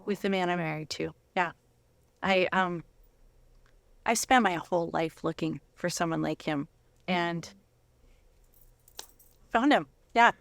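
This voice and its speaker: Smooth-voiced, laid-back Minnesotan woman. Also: lowish voice, measured pace, long pauses, fairly bright tone, no room sound. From Minnesota, United States. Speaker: guest reader, life coach. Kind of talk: scripture reading and interview chat.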